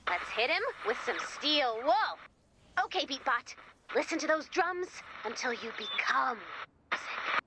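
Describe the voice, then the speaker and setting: A woman playing a perky, excitable robot who gushes like a nerdy music superfan in a video game. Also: high-pitched, noisy background, exaggerated voice. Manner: gushing, quippy, theatrical. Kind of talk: video game character dialogue.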